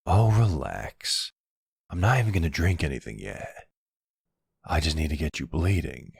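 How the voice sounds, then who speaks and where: A man's voice: very animated, moderate pace, dry recording, heavily acted. A man playing a smug, flirty, teasing vampire in an intimate ASMR roleplay, with a playful, taunting drawl close to the microphone. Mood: coaxing, flirty. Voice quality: deep, gravelly, husky. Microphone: close studio-style microphone, dry.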